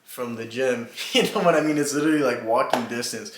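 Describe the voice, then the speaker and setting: Young man, twenties, from Mexico, casual vlog talk, mid pitch, echoey room. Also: a little fast, slight background noise. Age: 20s. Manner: relaxed, rambling, many fillers and little laughs. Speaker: vlogger. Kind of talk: casual get-to-know-me chat.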